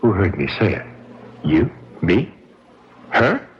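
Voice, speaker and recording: An actor playing an arrogant, cold-blooded murderer in a radio drama, blustering and scornful, with a husky voice. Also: male voice, low voice, big pitch swings, slow, a little hiss or hum, exaggerated voice. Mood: defiant, boastful. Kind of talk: old radio drama acting. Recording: dull lo-fi old radio recording.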